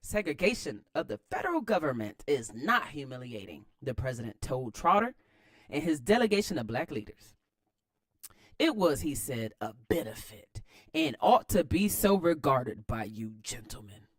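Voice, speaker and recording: Animated American woman, very dry close sound. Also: a bass voice, normal speed, dry recording. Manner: expressive, slangy, informal asides. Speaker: host of a read-aloud series. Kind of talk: book read-aloud with casual running commentary.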